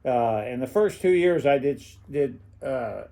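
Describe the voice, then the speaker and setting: An older man with an American accent reminiscing casually as a former club owner, in a halting, rambling way with frequent chuckles. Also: mid-range voice, lively intonation, vocal fry, dark tone.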